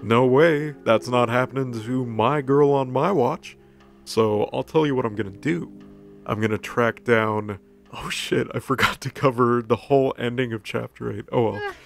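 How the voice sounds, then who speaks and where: Creaky-voiced man, laid-back, over music. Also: medium pitch, moderate pace. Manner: laid-back, crude, hesitant. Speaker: recap narrator. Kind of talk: casual comedic retelling in a character's words. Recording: music under the voice.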